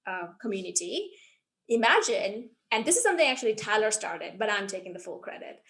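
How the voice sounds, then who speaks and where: A woman's voice: mid-range voice, big pitch swings, fairly quick, fairly bright tone. Eager woman explaining on a podcast. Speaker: podcast panelist. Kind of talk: casual discussion.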